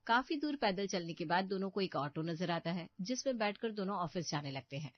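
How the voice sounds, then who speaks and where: A woman reading a cartoon bedtime story as narrator, in an even, clear storybook manner. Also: fairly low pitch, brisk, no room sound. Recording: dull, muffled studio audio.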